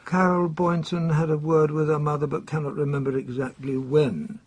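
An actor with a British accent playing an earnest, proper official in a radio drama, brisk and formal in manner. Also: male voice, a little high, fairly even intonation, breathy edge. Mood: earnest, reporting. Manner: brisk, formal, old-fashioned British exclamations.

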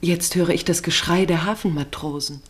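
Woman, low pitch, 1950s radio narrator, poetic careful declamation, literary travelogue. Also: normal speed, breathy edge.

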